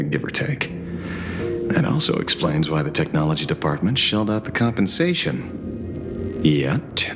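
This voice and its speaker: A man acting a sardonic, dogged hard-boiled detective in a video game, with wry, theatrical delivery. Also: low-pitched.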